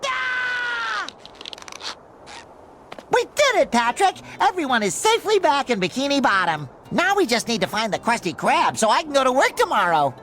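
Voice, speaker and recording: A man voicing a childlike, eager sea sponge in a cartoon video game, with a squeaky, nasal voice. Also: sing-song, moderate pace, bright, forceful tone, raised voice, a little hiss or hum, exaggerated voice. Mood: elated. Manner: eager, bubbly, earnest.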